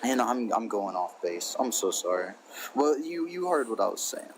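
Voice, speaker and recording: A man's voice: on the low side, very animated, normal speed, slight background noise. A man calling into a live debate who rambles and keeps apologizing for losing his thread. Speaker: caller.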